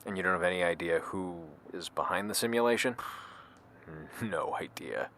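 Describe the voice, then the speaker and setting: A man's voice: sits low, animated, normal speed, fairly bright tone, a little hiss or hum. An actor playing an easygoing, wry man in informal, slightly hesitant audio drama conversation. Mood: casual, wry. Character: easygoing, slacker-ish man meeting his identical double.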